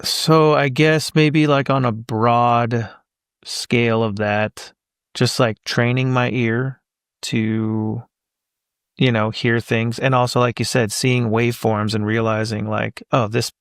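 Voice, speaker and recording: A man in his thirties chats casually as a podcast guest, circling his thoughts with fillers and restarts. His voice sits at an ordinary pitch with a gravelly edge, recorded dry and close. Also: steady tone, slow pace, dry recording. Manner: rambling, thinks aloud with many fillers. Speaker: voice actor guest.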